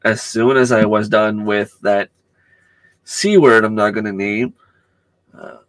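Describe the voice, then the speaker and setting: A middle-aged man with a hoarse voice from a cold, chatting casually on a home live stream and greeting viewers by name. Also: fairly low pitch, steady tone, takes it slowly, a bit bright, no room sound, poor audio. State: losing his voice from a cold. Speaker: channel host.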